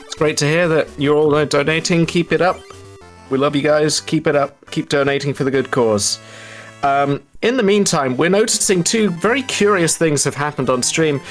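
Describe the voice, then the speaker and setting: A man giving live gameplay commentary, explaining things step by step with halting pauses. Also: fairly high pitch. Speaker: speedrun commentator. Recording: music behind the voice.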